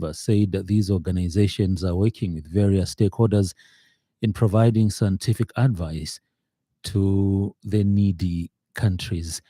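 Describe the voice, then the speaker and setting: Zambian radio news guy, low voice, really dark and mellow, dry studio sound. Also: dry recording. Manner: formal, measured reading with occasional uh fillers. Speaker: newsreader.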